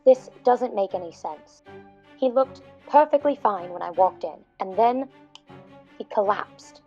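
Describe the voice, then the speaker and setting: A woman's voice: mid-range voice, expressive, unhurried, muffled recording, faint music behind. An actress playing a guarded, self-interested woman with a blunt, dry manner in an audio drama. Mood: wary, musing. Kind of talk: narrative podcast acting.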